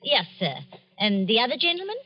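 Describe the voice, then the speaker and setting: An actress playing a chatty, easily alarmed working-class English shopkeeper in a vintage radio drama. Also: female voice, a little high, sing-song, brisk, a little hiss or hum. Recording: muffled vintage radio recording.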